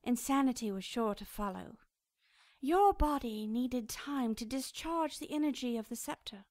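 A high, dry-sounding cherub from a radio drama. The angel is cold and imperious, choosing each phrase formally and looking down on mortals. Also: female voice, expressive, darkish tone, dry recording. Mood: solemn. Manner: formal, stately, measured phrasing.

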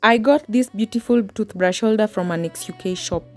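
A woman's voice: medium pitch, moderate pace, music in the background. A woman, casually narrating her home cleaning in a chatty voice-over. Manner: relaxed, chatty, small restarts. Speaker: homemaking vlogger.

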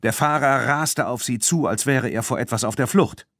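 A man narrating a children's audiobook in an animated storytelling manner. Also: medium pitch, moderate pace, dry recording, studio quality. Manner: animated storytelling, acting out dialogue.

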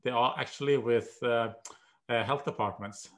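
An older man with a Swedish accent answers questions on a video call from home. He speaks in a measured way, stopping often for "uh" as he searches for the right words. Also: medium pitch. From Sweden. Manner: measured, hesitant with many "uh"s. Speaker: guest professor. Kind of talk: conversational interview. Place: home room.

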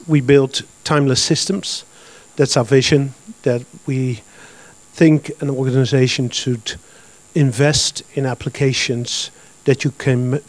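A man in his fifties with a Dutch accent presents to a conference crowd, speaking plainly with many uh and um fillers. His voice sits at an ordinary middle pitch. The handheld mic gives a dry, close sound. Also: a little mellow, dry recording. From Netherlands. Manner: plain, matter-of-fact, with frequent uh and um. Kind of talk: business presentation. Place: large conference hall with audience. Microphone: handheld microphone.

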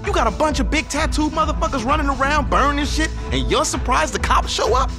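An actor playing a brash, complaining young gangster sidekick in a video game, excitable and indignant. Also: male voice, extremely high pitch, brisk, music in the background. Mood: flustered. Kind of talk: video game cutscene acting.

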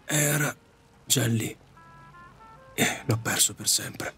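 A man playing a middle-aged widowed taxi driver in an audio drama, confessing his regrets in a halting, repetitive, self-reproaching way. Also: mid-range voice, bright, forceful tone, faint music behind. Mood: sorrowful. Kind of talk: Italian audio drama monologue.

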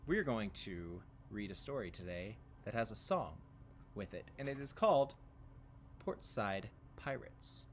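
Expressive American man addressing children. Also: on the low side, a little hiss or hum. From Tampa, Florida, United States. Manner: expressive, addressing young viewers directly. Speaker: children's music teacher. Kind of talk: read-along introduction for children. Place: outdoors by a brick house wall.

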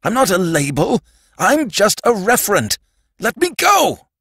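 A man's voice: fairly high pitch, sing-song, slow, fairly bright tone, dry recording, studio quality, voice pushed up, heavily acted. A man voicing a terrified shapeshifting alien in a storybook reading, pleading and frantic in his outbursts. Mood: panicked, screaming.

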